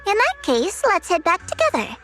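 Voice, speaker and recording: A woman's voice: very high-pitched, huge pitch swings, moderate pace, a bit bright, soft background music, full character voice. A woman playing a proud, easily embarrassed young woman in an anime-style game, curt and guarded. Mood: composed.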